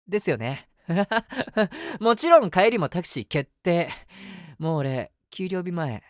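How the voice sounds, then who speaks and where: Man acting an eager, puppyish lovestruck young guy, animated. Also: sits high, slow, dry recording. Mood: nervous, giddy. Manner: chatty, rambling, self-mocking. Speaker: voice actor. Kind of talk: situation voice drama, first-person monologue to the listener.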